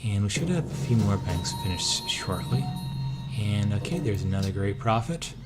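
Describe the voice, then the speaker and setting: A hoarse man casually thinking aloud, with many pauses and filler sounds, as he narrates his moves in a strategy game. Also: on the low side, expressive, over loud music. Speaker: let's-play gamer.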